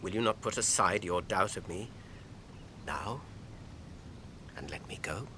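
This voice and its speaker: An actor playing a weary, fearful but determined hobbit on a doomed quest, earnest and anguished in a fantasy radio drama. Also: male voice, low voice, unhurried, pushed and bright, slight background noise. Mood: desperate pleading.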